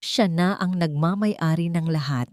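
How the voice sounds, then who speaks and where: A woman's voice: fairly low pitch, unhurried, darkish tone, no room sound, studio quality. A woman narrating a dramatic fictional story as a scripted audiobook.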